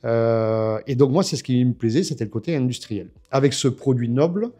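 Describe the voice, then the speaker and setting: A man's voice: medium pitch, a little fast, dark tone. A man in his fifties from France, telling his story as a guest in a casual interview, with many hesitations and restarts. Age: 50s.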